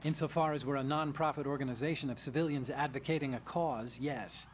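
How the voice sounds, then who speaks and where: Man voicing an earnest engineer ally, measured explaining, mid pitch, video game. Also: fairly even intonation, slight background noise, natural voice.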